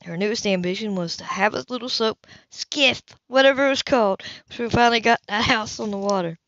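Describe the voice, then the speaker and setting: A woman's voice: on the high side, fairly quick, fairly bright tone, dry recording. Woman reading an audiobook at home, trips on words sometimes, dry muffled lofi sound. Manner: occasional stumbles over words. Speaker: amateur audiobook reader. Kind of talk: scripted narration, reading a novel aloud. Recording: dull, lo-fi home recording.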